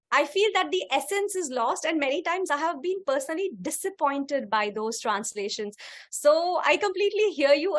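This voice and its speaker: High voiced woman from kashmir hosting an online class chat, kashmiri native, gushy and personal. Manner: warm, effusive, personal. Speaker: moderator. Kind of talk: online course discussion.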